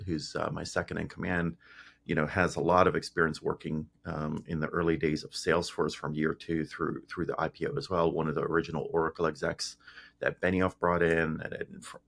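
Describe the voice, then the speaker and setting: Guest, middle-aged man from Greece: very low, rough voice, easygoing storytelling, lots of ums. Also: fairly quick. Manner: relaxed, frequent fillers and restarts. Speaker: guest, tech founder. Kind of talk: casual interview storytelling.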